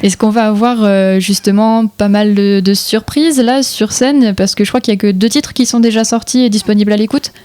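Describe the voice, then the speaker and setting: A woman interviewing a band for radio, asking relaxed conversational questions. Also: medium pitch, fairly quick, hardly any pauses, dry recording. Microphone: radio studio microphone.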